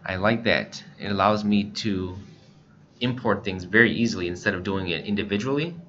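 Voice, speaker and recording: Casting: American male tutorial narrator, lowish pitch, casual instructional delivery, slightly lo-fi sound. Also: moderate pace, pushed and bright. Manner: informal, step-by-step explaining. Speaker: instructor, scanner shop staff. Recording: muffled lo-fi voice-over, faint background noise.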